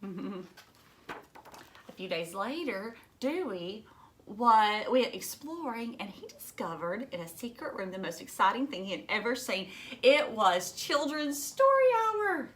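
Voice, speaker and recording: A woman teacher reading a children's storybook aloud, expressive and dramatic, as if to young listeners. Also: on the high side, slow.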